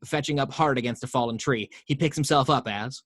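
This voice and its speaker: A man's voice: medium pitch, brisk, no room sound, clean studio sound. Man reading screenplay action lines. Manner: steady reading with small slips. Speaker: table read host reading action lines. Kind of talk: script narration. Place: home.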